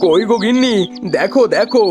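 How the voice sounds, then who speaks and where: A good-natured village farmer in a cartoon tale. His voice is notably high, with homely, eager, exclamatory phrasing. There is music underneath. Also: animated, brisk. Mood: delighted. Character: Madan, kindly, eager village rice farmer and husband. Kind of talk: Bengali cartoon story acting.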